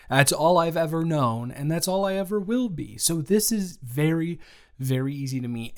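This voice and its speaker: A man in an improvised audio roleplay, playing a young male best friend who talks straight to the listener in a gentle, reassuring way and is blunt but kind. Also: fairly high pitch.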